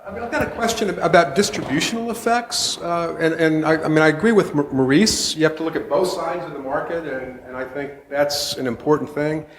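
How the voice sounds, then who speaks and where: A man's voice: a little high, a little hiss or hum. A man, an economist, putting a long question from the audience with many hesitations and restarts. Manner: halting, many restarts and hedges. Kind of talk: question from the floor. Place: conference hall. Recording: reverberant conference hall.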